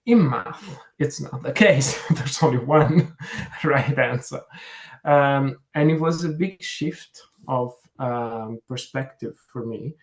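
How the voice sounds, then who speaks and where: A man with an Italian accent speaks in a mid-range voice at a slow pace. He is reflective and conversational, as if answering in an interview. He often pauses mid-sentence to search for the next phrase. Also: mid-range voice. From Italy. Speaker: career fair interviewee, program manager.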